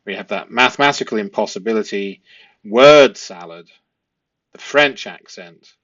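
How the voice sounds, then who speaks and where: A man's voice: fairly low pitch, animated, measured pace, a bit bright. Man analysing, dull lo-fi recording. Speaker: host analysing a police interview. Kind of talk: analytical commentary to viewers.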